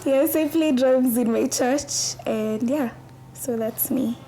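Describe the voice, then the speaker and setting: High, mellow-voiced Kenyan woman. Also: slow, slight background noise, poor audio. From Malindi, Kenya. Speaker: interviewee, bride, lawyer and drummer. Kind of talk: casual self-introduction in an interview.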